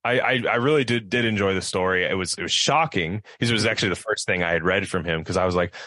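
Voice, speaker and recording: A man's voice: deep voice, fast talker, pushed and bright, no echo. A man in casual podcast chat, rambling in slangy, profane language and leaning heavily on "like", close to the microphone.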